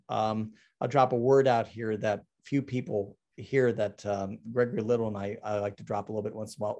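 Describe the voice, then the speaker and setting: A middle-aged man talking casually about his artwork on a video call, halting with many ums and restarts. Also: mid-range voice, darkish tone. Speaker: artist presenting his work. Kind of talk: casual talk over a slide presentation.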